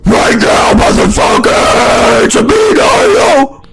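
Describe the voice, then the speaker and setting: A man voicing an evil, manic cartoon plumber brother, shouting in a screech. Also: very high-pitched, big pitch swings, slow, creaky, a loud crowd, heavy background noise, pitched above the performer's own voice, heavily acted.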